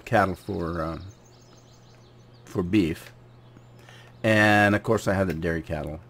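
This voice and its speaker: Gravelly, deep-voiced man with a cold. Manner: rambling, clears throat often. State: hoarse from a cold. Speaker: gaming channel host. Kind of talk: casual gameplay commentary.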